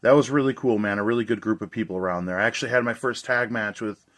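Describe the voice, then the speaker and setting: Young dude a bit hoarse, rambly and excited, just talking about movies he collects. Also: on the low side, quick pace. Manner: chatty, rambling, enthusiastic. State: slightly hoarse. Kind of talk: casual video review.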